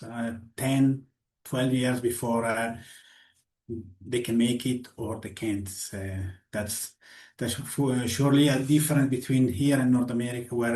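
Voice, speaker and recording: A man, a goalie coach answering interview questions haltingly with many fillers. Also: medium pitch, mellow. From Switzerland. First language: Italian. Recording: roomy echo.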